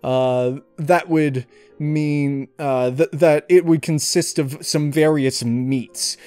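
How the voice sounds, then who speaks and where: A man giving casual interview answers in a halting, wordy way, often amused. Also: medium pitch, darkish tone. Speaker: interviewee, audio story reader. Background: music behind the voice.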